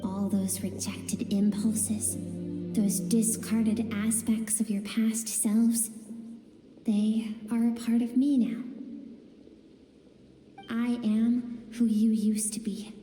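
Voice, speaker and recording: An actress voicing the gentle, suppressed inner self of a swordswoman in a video game, speaking softly and sorrowfully. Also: on the high side, level tone, slow pace, long pauses. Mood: earnest. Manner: soft, sorrowful, pleading. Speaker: game character. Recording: roomy reverb under game music.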